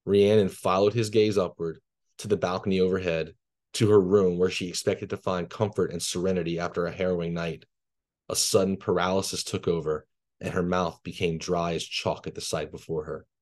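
A man in his thirties from Louisiana, reading his horror fiction aloud in a measured way, then answering questions casually on a video call from a bedroom. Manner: low, measured, even reading voice. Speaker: guest author. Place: bedroom at home. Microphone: video call laptop camera.

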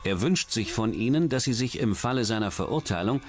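A man's voice: medium pitch, expressive, brisk, faint music behind. A man reading scripted true-crime narration as a documentary narrator.

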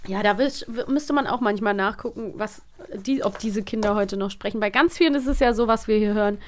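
A woman's voice: medium pitch, a little fast. A woman co-hosting a podcast in casual chat, talkative and laughing easily as she leads the conversation. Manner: chatty, laughing, guides the talk. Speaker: podcast co-host.

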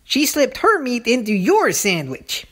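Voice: mid-range voice, huge pitch swings, measured pace, a bit bright, voice pushed up, heavily acted. A performer voicing a small, wary talking lizard in a comedy skit, repeating words and speaking in uncontracted phrases. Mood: incredulous, prying. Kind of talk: comedy puppet-style dialogue.

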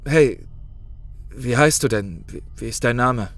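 A man's voice: medium pitch, a little slow, faint music behind, natural voice. A man playing an anxious but friendly reality-show contestant in a horror audio drama, coaxing and stammering. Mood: placating.

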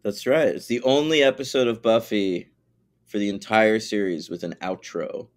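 A lower-voiced man in relaxed podcast chat, swearing freely and dropping show trivia. He sounds easygoing, with a low voice and a plain conversational delivery. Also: normal speed. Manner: laid-back, profane, trivia-minded. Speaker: podcast co-host. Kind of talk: casual episode recap chat.

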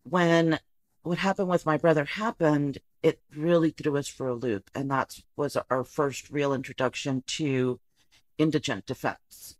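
A woman from the Rio Grande Valley in Texas, a social worker guest telling her story with a lively manner and easy laughs. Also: low voice, unhurried, dry recording. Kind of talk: podcast interview.

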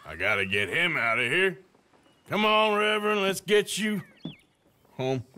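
A man's voice: high voice, lively intonation, a little slow, sharp tone, heavily acted. An actor playing a weary, blunt male American outlaw gunslinger in a video game, with a dry cowboy way of talking. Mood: firm, blunt. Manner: blunt, drawling cowboy talk.

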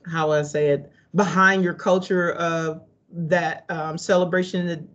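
A woman's voice: fairly low pitch, level tone, measured pace, a bit bright. A middle-aged woman hosting an informal interview over a video call. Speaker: seminar host and interviewer. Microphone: video call microphone. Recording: dull, lo-fi video call audio.

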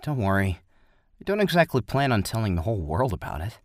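A man playing a reserved, matter-of-fact high-school boy in a manga dub, with a laconic, understated manner. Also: very animated, fast, dry recording, studio quality.

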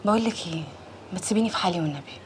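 A woman's voice: on the low side, very fast, slightly rough. An actress playing a heartbroken young woman, weary and defensive with her parents, in an Egyptian Arabic accent. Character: heartbroken, sullen young daughter. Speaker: daughter.

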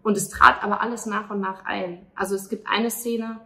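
A woman's voice: mid-range voice, fairly even intonation, moderate pace, pushed and bright. A woman in her thirties answering an interview in an earnest, reflective, conversational way into a handheld microphone. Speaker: playwright and actress. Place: indoors at a restaurant table by a window. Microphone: handheld microphone held by interviewer.

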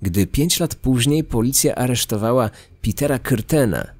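A man reading scripted true-crime documentary narration in a measured, serious way. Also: mid-range voice, lively intonation, a little mellow, no echo.

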